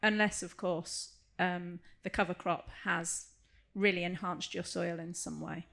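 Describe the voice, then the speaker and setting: A woman speaking as an academic expert on a panel, giving a measured explanation with careful qualifications. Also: fairly low pitch, fairly even intonation, gravelly, fairly bright tone. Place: live agricultural conference panel session.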